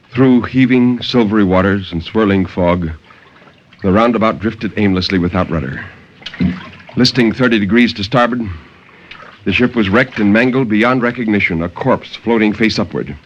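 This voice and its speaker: A man's voice: fairly low pitch, normal speed, pauses often, natural voice. Muffled old-radio sea captain played by a man, dramatic. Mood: grave. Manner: theatrical, intense, formal diction. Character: sea captain recounting his ordeal, stern and dramatic. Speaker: storyteller. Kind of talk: 1950s dramatic horror radio narration. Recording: dull, lightly noisy 1950s radio recording.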